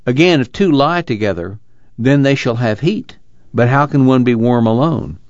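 A man's voice: mid-range voice, normal speed, darkish tone, dry recording, lo-fi sound, natural voice. A man playing an earnest young fugitive farm son in a dramatized novel reading, reflective and plainspoken, with a drawl.

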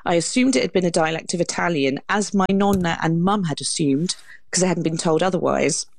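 A woman's voice: fairly low pitch, brisk, creaky. A woman, a guest author explaining her subject articulately in an interview.

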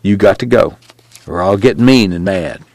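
A man's voice: sits low, normal speed, darkish tone, dry recording. A man voicing a worried, stubbornly kind poor migrant man in an audiobook. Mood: firm, kind.

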